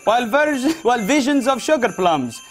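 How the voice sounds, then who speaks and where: A man's voice: extremely high pitch, big pitch swings, normal speed. A man putting on a Spanish accent as a cheery storyteller, reading a Christmas poem playfully. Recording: studio audience and music behind.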